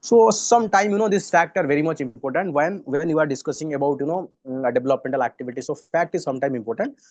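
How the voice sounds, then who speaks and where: A man's voice: fairly high pitch, fast, dry recording. A man with an Indian English accent teaching an online exam-prep class on current affairs, often repeating himself and saying "you know". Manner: repeats phrases, fillers like "you know", mixes in Hindi.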